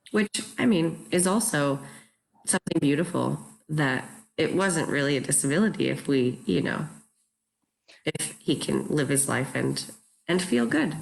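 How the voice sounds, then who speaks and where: A woman's voice: low-pitched, creaky, rough recording. A woman speaking reflectively in a panel discussion, as a play's director. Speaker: play director. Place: virtual video call.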